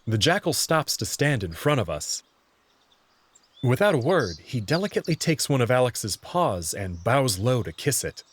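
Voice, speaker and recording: A man's voice: mid-range voice, big pitch swings, normal speed, husky. A man reading scripted first-person narration for a visual novel.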